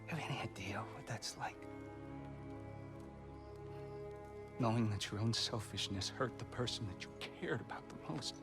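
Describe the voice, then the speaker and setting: An actor playing a wounded, volatile exiled god in a theatrical, emotional video game performance. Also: male voice, on the low side, brisk, loud music behind the voice, close to an everyday voice. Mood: remorseful, tender. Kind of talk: cinematic video game acting.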